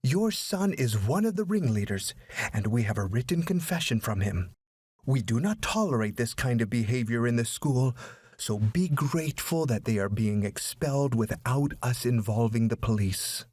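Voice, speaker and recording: Man voicing a stern, husky school principal. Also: mid-range voice, lively intonation, normal speed, darkish tone, no room sound. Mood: stern, firm. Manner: authoritative, firm, formal.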